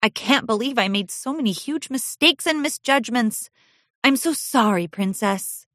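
A young filly pony from a storybook, lonely and eager to be liked, as a woman reads her. She talks in a high, earnest, pleading voice that swoops up and down. The sound is close and dry. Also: expressive, a little slow, a bit bright, no echo. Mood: contrite.

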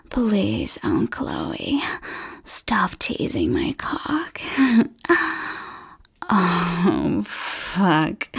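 Woman, intimate roleplay, breathy teasing whisper, very slow and lively in pitch. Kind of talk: whispered adult audio roleplay.

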